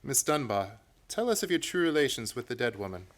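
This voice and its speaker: A man playing a confident, analytical gentleman detective in a radio play, reasoning aloud in an emphatic, theatrical way. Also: mid-range voice, big pitch swings, a little fast. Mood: decisive.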